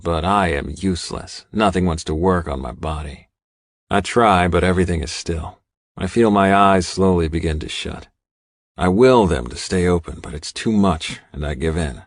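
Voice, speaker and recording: Male audiobook narrator, low-pitched, dramatic first-person storytelling, dry close studio sound. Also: no room sound.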